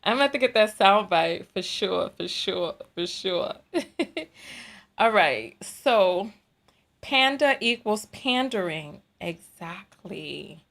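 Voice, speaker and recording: A woman's voice: mid-range voice, takes it slowly, gravelly. A woman with a New York accent hosting a casual livestream, chatting and reading viewer comments, laughing and repeating herself. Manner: chatty, animated, laughs often, repeats phrases. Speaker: livestream host.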